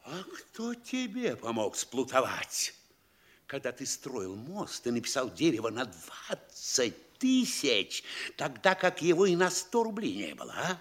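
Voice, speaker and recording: Blustering, wildly swooping provincial mayor. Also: male voice, medium pitch, wildly swooping pitch, measured pace, heavily acted. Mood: gloating, scolding. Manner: theatrical scolding, swinging from sly quiet to bellowing. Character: bullying, gloating provincial mayor, pompous and quick to rage. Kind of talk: classic Russian stage comedy acting in an audio play.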